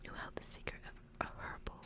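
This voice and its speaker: A woman speaking entirely in a soft, breathy whisper, unhurried and intimate, as an ASMR host who chats and reads aloud. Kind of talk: whispered ASMR reading and casual chat.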